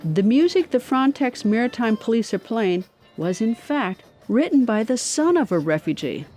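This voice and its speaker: A woman's voice: fairly high pitch, very animated, moderate pace, mellow, faint music behind. A woman reading scripted narration as the narrator of a documentary.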